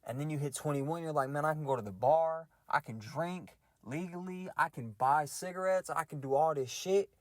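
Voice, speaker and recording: A man's voice: a little high, normal speed, few pauses, no echo. A man in his twenties talking loosely and reflectively in a casual solo rant, full of slang. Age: 20s. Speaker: podcast host ranting.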